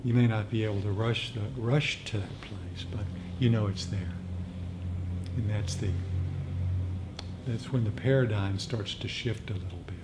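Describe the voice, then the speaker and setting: A man leading a meditation group, speaking off the cuff in a reflective, halting way with repeated phrases. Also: lowish voice. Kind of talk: unscripted reflective talk on meditation practice. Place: meditation group meeting room. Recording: noisy live room recording.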